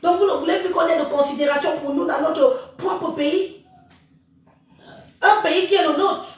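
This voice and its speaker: A woman holds forth in a scornful, preachy live-stream rant and speaks straight to her viewers. Her high voice sounds stuffed up from a head cold. She sits well back from the mic in a room full of echo. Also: moderate pace. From Cameroon. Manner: scornful, preachy, addresses listeners directly. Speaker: social media live streamer. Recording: very echoey room, far from mic.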